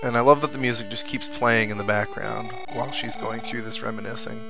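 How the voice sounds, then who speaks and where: A man plays through a video game and comments casually as he goes. His voice sits at an average pitch, and he muses to himself between readings of on-screen text. Game music plays clearly behind him. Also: flat delivery, fairly quick, low-quality recording. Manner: musing, talks to himself. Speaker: let's play commentator. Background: video game music and sound effects.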